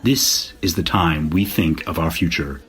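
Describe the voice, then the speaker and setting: An actor playing a rousing politician giving an emphatic, theatrical speech in an audio drama. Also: male voice, extremely low pitch, a little slow, a bit bright, slightly rough, heavily acted. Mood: solemn, rousing. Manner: oratorical, emphatic. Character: rousing male politician addressing a European crowd.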